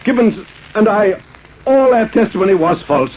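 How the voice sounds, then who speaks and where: Muffled old radio: frightened businessman, stammering, high and swinging pitch. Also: expressive, moderate pace, a little mellow. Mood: panicky. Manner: stammering, agitated. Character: panicky, frightened businessman fearing for his life. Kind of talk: 1940s radio acting.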